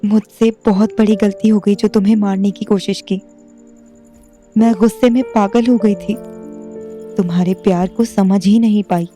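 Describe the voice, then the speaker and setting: A woman voices a troubled young wife who pleads and worries out loud. Her voice is mellow and dark. Soft music runs beneath her. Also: medium pitch, steady tone, moderate pace, natural voice. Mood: pleading. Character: anxious young wife Ranu, remorseful. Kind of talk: audio drama acting.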